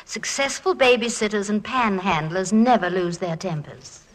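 An actress playing a fond, teasing wife of a college president in 1940s radio comedy, affectionate, playful and theatrical. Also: female voice, mid-range voice, animated, moderate pace, lightly acted. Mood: soothing, teasing. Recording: noisy old 1940s radio recording with clicks.

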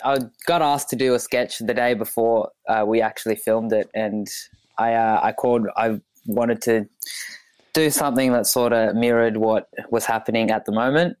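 A man's voice: medium pitch, fairly even intonation, a little mellow, smooth, no room sound. A man in his twenties, born in Ethiopia and raised in Australia, talking easily as a guest in a casual interview with plenty of fillers. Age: 20s. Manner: easygoing, halting, many fillers. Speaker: guest, comedy sketch maker.

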